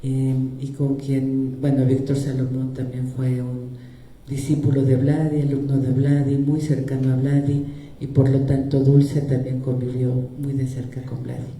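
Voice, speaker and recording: A man's voice: medium pitch, completely flat delivery, unhurried, dark tone. A man with a Mexican Spanish accent moderating a conference and introducing the next speaker, with a somewhat hesitant delivery. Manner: hesitant, with fillers and restarts. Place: lecture hall. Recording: echoey hall.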